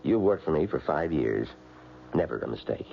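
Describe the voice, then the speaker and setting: An actor playing a grave, hesitant office boss in an old radio drama. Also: male voice, a bass voice, big pitch swings, normal speed, gravelly, a little hiss or hum, heavily acted. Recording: dull old radio broadcast recording.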